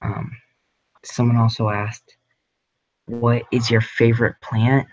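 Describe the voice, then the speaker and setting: A man's voice: medium pitch, little pitch movement, takes it slowly, lo-fi sound. A young man hosting a casual livestream from his home grow room, rambling with lots of ums and likes. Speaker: livestream host.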